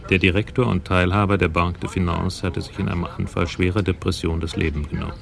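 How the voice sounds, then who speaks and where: A man narrating a German radio crime drama from a script in a measured, wry, descriptive way. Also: sits low, little pitch movement, vocal fry. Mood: matter-of-fact.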